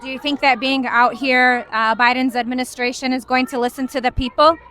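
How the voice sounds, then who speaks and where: A woman interviewing a rally attendee with quick, direct questions. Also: high voice, steady tone, bright, forceful tone, clean tone.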